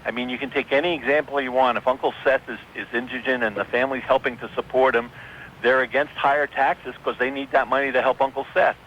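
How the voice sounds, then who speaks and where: Male, middle-aged, American, keen radio caller, sharp edge to the voice, faintly noisy line. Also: medium pitch, fairly even intonation, fast talker. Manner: effusive, eager. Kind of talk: conversational phone-in commentary. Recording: dull, noisy radio phone-in.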